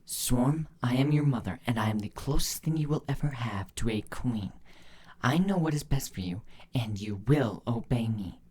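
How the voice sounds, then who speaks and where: A man voicing a stern, cool changeling mother in a storybook reading, speaking in a firm, measured, commanding way. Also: medium pitch, animated, darkish tone, slightly rough.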